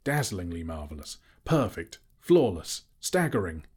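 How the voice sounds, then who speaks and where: A man voicing a small, timid but plucky male hobbit in a storybook, nervously polite with flowery flattery. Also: on the low side, normal speed, voice pushed up, close to an everyday voice. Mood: flattering. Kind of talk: audiobook character voice.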